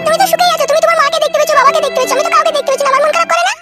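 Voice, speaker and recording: A man voicing a sulky, demanding young village wife in a comic cartoon, with a squeaky pouting delivery. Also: fairly even intonation, racing, over loud music.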